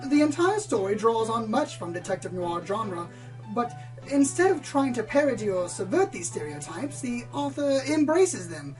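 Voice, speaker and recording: A woman's voice: a little high, very animated, moderate pace, over music, rough recording. Woman playing a bookish, prim pony reviewer. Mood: earnest, formal. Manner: formal, articulate, earnest. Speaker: on-air book presenter. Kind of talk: scripted review in radio play.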